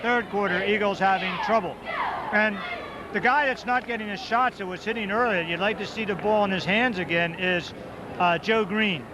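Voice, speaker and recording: A man calling live high school basketball play-by-play in quick, clipped calls, rooting for the home team, with a loud voice. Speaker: play-by-play announcer. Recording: muffled 1990s local broadcast tape, loud gym crowd. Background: crowd noise, ball bounces.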